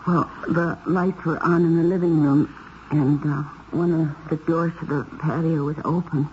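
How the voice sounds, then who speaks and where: A shaken married woman, played by an actress, speaks in a low, halting voice, fearful and under suspicion. It is vintage radio mystery drama, with a dull tone and clear hiss over the recording. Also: steady tone, a little mellow, natural voice. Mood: frightened, halting. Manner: hesitant, halting delivery. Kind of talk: 1970s radio mystery acting.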